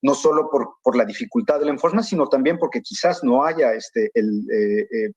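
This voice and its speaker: A man's voice: mid-range voice, moderate pace, a little mellow, husky, dry recording, lo-fi sound. A man speaking as a pharmaceutical company panelist on a video call, hesitant, with many fillers and repeated words.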